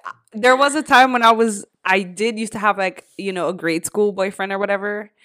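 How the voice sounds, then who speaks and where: A woman's voice: medium pitch, fairly quick, a bit bright. A young woman co-hosting a podcast, talking bluntly and profanely in casual chat, full of fillers and quoted reactions. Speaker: podcast co-host.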